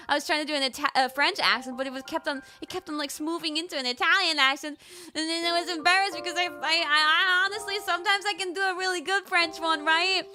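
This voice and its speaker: A woman streamer telling stories in casual chat, animated, jumping to squealed quotes. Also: high-pitched. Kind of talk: casual chat, recapping a trip.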